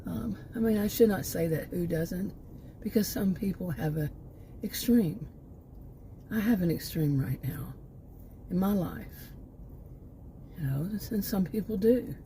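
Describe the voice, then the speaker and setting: Rambling low-voiced woman in her sixties. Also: a little slow, pauses often, dark tone, slight background noise. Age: 60s. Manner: rambling, often stops to restart and lose her thread. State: losing her voice. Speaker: channel host. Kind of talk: rambling monologue.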